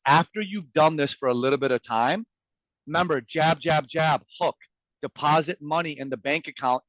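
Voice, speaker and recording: A man whose first language is Farsi pitches sales tips with punchy emphasis, playing out sample messages as he goes. His voice sits fairly high for a man. The sound is dry and a little muffled, as over a video call. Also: no echo. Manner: energetic, emphatic, acts out quoted messages. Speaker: guest speaker. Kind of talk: motivational sales presentation.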